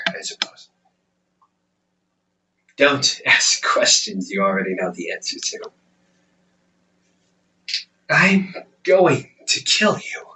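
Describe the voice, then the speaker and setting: A man acts out an ancient demon older brother for an audio roleplay, slightly distant from the mic. His voice is bright and sharp, swinging widely in pitch as he mocks and condescends. He talks like a smug sibling who is casually menacing. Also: a little hiss or hum, full character voice. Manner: sarcastic, condescending, drawling with chuckles. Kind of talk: audio roleplay acting, conversational monologue.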